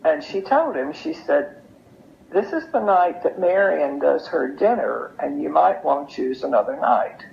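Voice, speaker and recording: A woman's voice: sits low, sing-song, measured pace, vocal fry. An older woman, telling anecdotes in a casual, chatty way as an interview guest. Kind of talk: casual storytelling. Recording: muffled lo-fi audio with noise.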